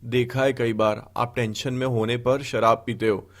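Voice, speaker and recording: A man voicing a hurt, pleading adult son in an animated story, speaking with earnest reproach. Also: mid-range voice, unhurried, lightly acted. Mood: subdued. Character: hurt, pleading adult son confronting his drinking father. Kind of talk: animated story dialogue acting. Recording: background music under the voice.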